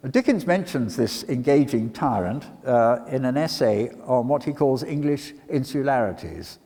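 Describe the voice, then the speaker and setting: An older man delivers a literary lecture at a middling pitch. He is hesitant, repeating words and then landing on polished phrases. His voice carries a long church reverb. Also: lively intonation, moderate pace, dark tone. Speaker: lecturer and dramatic reader. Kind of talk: public literary talk with read-out quotations. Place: talk in a church before an audience.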